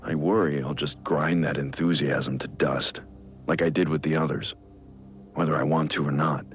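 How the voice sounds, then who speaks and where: An actor playing a brooding, guilt-ridden middle-aged vigilante who records grave, measured, introspective audio logs in a video game. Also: male voice, fairly even intonation. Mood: brooding, doubtful. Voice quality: deep, gravelly. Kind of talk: scripted audio log narration, video game acting. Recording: muffled lo-fi audio log, music underneath. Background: music.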